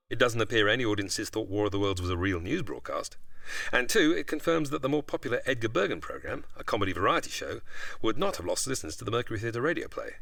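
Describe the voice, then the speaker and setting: A man speaks as an expert guest, explaining in a polished, storytelling way. His voice sits fairly low yet moves with lively intonation at a quick pace. The delivery is articulate and scripted. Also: gravelly, no room sound. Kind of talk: explanatory interview, largely prepared narration.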